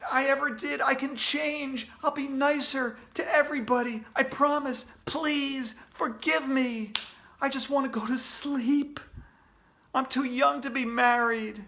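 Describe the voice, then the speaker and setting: Whiny storybook schoolboy, high and frantic, pleading nonstop; a reader puts him on. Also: fairly even intonation, a little slow, pushed and bright, heavily acted. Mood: desperate pleading. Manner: pleading, whining.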